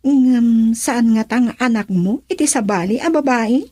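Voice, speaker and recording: An actress in an Ilocano radio drama playing a concerned, questioning mother and wife, with a Filipino accent and a husky voice. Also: a little high, a little slow, warm tone, no room sound, studio quality. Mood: suspicious, probing.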